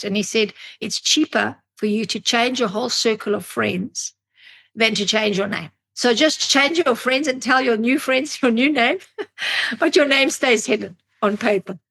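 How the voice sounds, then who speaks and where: Woman with a gravelly voice. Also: mid-range voice, a bit bright. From Zimbabwe, grew up in South Africa. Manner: thoughtful, searching for words. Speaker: podcast guest, psychologist and drummer. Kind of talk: reflective interview storytelling.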